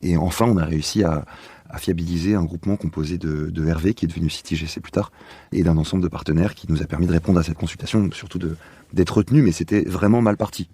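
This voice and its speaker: A man speaking as an interview guest, explaining in a halting, conversational way as he searches for words. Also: low-pitched, fairly even intonation, dark tone, slightly rough, no echo.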